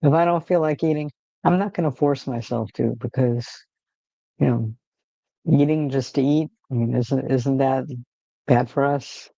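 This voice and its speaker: A man hosting a casual livestream, rambling in a relaxed way with frequent fillers and restarts, talking from home. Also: medium pitch, a little slow, creaky, no room sound, lo-fi sound. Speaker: livestream host.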